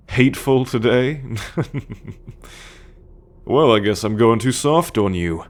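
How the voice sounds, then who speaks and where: A man playing a cocky, teasing male alien scout warrior in an ASMR roleplay, talking straight to the listener with a drawl. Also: smooth. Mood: smug, teasing. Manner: teasing, slow and drawling, with low chuckles.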